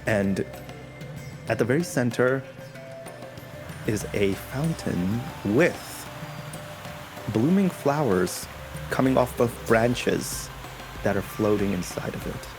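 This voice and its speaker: Man, tabletop player, lavish descriptive narrator with casual asides; mid-pitched, light music under him. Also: animated. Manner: lush, slow scene-painting with casual asides. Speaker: player describing his character's throne room.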